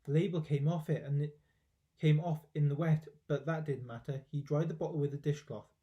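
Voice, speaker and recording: A man's voice: a little high, fairly even intonation, brisk, highs cut off. A man reading a children's storybook aloud expressively, with dramatic emphasis, like a teacher.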